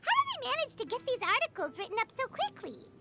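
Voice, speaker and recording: Tiny squeaky fairy sidekick, extremely high, very animated, chatty, video game. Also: female voice, fast talker, heavy background noise, full character voice. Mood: curious, chatty. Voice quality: squeaky, childlike. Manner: speaks of herself in third person, chatty. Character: tiny childlike chatty fairy companion, female.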